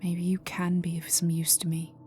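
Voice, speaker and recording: A woman playing a cold, vengeful aristocratic vampire in a dark ASMR roleplay, speaking formally and menacingly and often sinking to a whisper. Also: fairly low pitch, monotone, moderate pace, faint music behind, close to an everyday voice. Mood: stern, guarded. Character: cold vengeful aristocratic female vampire, young heiress in mourning.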